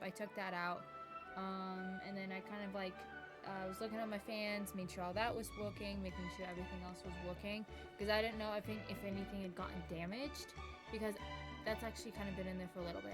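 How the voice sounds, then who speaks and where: A woman game streamer chatting casually to viewers in a rambling, self-deprecating way. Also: mid-range voice. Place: indoors at a home gaming setup. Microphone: streaming microphone.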